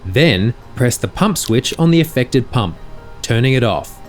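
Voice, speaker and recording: A man reading a scripted explanatory narration in a measured, precise, instructional way. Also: mid-range voice, lively intonation. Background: music.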